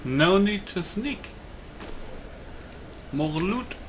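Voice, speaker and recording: A man giving casual, low-key let's play commentary, musing aloud as he plays. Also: animated, extremely slow, noisy background. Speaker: gamer, let's play host.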